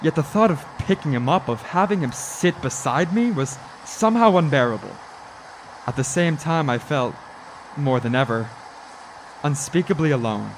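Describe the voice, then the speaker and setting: A man's voice: on the high side, expressive, moderate pace. Man acting an anxious, haunted motorist, noisy track. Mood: brooding dread. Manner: earnest, stammering when flustered. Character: anxious male motorist haunted by a hitchhiker, narrating. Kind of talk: radio drama narration and dialogue.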